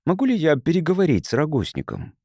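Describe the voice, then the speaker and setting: A man voicing a calm, curious sociologist from Earth in an audiobook, measured and polite. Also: on the high side, breathy edge, no room sound, studio quality, voice pushed up, heavily acted.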